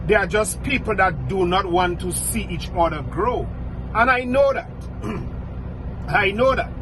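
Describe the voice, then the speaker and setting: A man's voice: high-pitched, a little slow, background noise. A middle-aged man from Dominica with a Dominican accent, speaking bluntly and repeating his points for emphasis. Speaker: vlogger. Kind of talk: outspoken direct-to-camera commentary.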